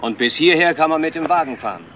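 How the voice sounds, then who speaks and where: An actor playing a matter-of-fact police investigator in a crime radio play. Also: male voice, fairly high pitch, animated, fast, fairly bright tone, a little hiss or hum. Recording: faint outdoor ambience.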